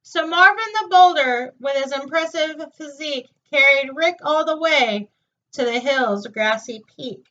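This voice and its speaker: A woman reading a children's picture book aloud with an expressive, singsong delivery, in a dull, distant recording. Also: sits high, a little slow. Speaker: storytime reader.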